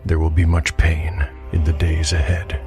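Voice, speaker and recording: A man hosting and narrating a scripted dark fantasy tale in a measured, solemn storytelling manner. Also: extremely low pitch, level tone. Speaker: host and narrator. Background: background music.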